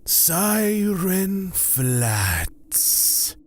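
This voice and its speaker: Man as a very slow, husky god of fear. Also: sits high, very animated, full character voice. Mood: calm, ominous. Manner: deliberate, formal, drawn-out phrasing. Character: slow, calm, menacing male god of fear. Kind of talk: fantasy audio drama acting.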